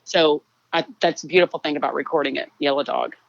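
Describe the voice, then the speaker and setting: Texan woman, low voice, laid-back rambling talker, dry muffled recording. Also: fast, vocal fry. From Texas. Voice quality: low singing voice. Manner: relaxed, rambling with many fillers. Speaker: songwriter guest. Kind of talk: casual interview chat.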